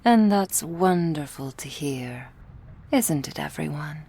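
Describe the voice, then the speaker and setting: Woman acting slow, husky, menacing vampire boss lady. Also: deep voice, animated, dark tone, exaggerated voice. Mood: soft menace. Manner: slow, formal, silky and threatening. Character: menacing, imperious female vampire crime syndicate boss. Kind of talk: ASMR roleplay acting.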